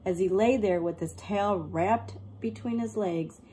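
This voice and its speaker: A woman storyteller reads a children's picture book aloud with expression. Her voice sits at an ordinary female pitch, and she plays out the tale for young listeners. A light noise sits behind her in the recording. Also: dark tone. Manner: acts out characters and pauses to explain words.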